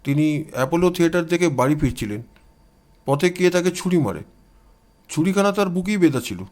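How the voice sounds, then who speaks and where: A man acts out a police inspector in an audio story, courteous and troubled. He explains matters politely in a fairly high male voice. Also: lively intonation, fairly quick, darkish tone, lightly acted. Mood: explaining.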